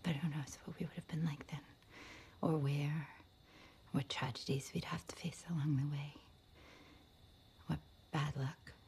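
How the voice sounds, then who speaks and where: Woman acting hushed, creaky woman confessing forbidden love. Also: low-pitched, fairly even intonation, unhurried, pauses often, slight background noise. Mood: tender, wistful. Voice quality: breathy, whispery, creaky. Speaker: actress performing a film monologue. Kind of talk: intimate dramatic monologue.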